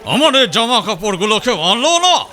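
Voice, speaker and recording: A man's voice: high voice, sing-song, moderate pace, pushed and bright, voice pushed up, heavily acted. A man voicing an irritable, complaining British police officer in a storybook reading. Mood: indignant. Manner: indignant, complaining.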